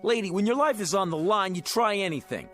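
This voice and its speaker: An actor in a radio drama playing a brash, bitter working-class hustler who talks in combative, slangy wisecracks. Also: male voice, high voice, expressive, a little fast, fairly bright tone, soft background music.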